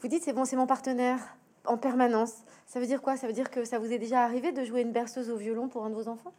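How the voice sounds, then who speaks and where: Slightly high-voiced woman interviewer. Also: flat delivery, fast talker, mellow. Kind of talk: conversational interview questioning.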